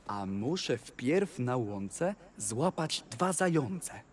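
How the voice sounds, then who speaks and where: A man playing a sly, boastful talking tomcat servant in a rhymed audio fairy tale, with theatrical, deliberate delivery. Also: medium pitch, very animated, a little hiss or hum. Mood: eager, sly.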